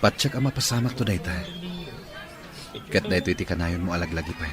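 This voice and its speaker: Low-voiced, devoted boyfriend played by an actor. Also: male voice, brisk, natural voice. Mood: reassuring, devoted. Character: devoted, reassuring boyfriend, tender and trusting. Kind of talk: dramatic acting in an audio romance drama. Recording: music and outdoor crowd bustle behind.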